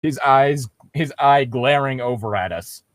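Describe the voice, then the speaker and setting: A man with a sardonic, deadpan manner, reading a story aloud and bantering as co-host. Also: mid-range voice, unhurried, dry recording.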